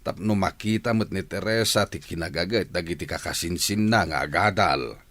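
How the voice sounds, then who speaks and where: A man's voice: deep voice, normal speed, fairly bright tone, dry recording. A man acting a middle-aged, caring father and uncle in an audio drama. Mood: resigned. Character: caring family father, an uncle, worried but forgiving.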